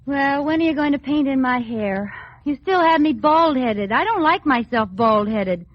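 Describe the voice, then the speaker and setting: An actress playing a pert, playful wife, perky and wisecracking in mid-century radio comedy style. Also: female voice, high voice, expressive, normal speed, no echo. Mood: pert, amused. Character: pert, playful wife posing for her portrait. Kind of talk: 1940s-50s radio comedy acting. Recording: noisy, muffled old radio broadcast.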